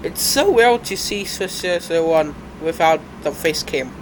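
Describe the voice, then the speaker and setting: A young man talks casually over videos at his desk, his voice a bit high and congested from a cold. He rambles in fits and starts, louder and softer by turns. A steady hiss of background noise sits under the home recording. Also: low-quality recording. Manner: rambling, halting, uneven loudness. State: stuffed up from a cold. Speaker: reaction video host. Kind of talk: casual reaction commentary. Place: indoors at a computer.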